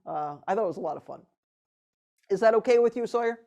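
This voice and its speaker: Woman leading a retreat over a video call, chatty, laughs a lot, mid pitch. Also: quick pace. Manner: animated, laughs often, many restarts. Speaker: retreat teacher. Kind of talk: casual teaching talk.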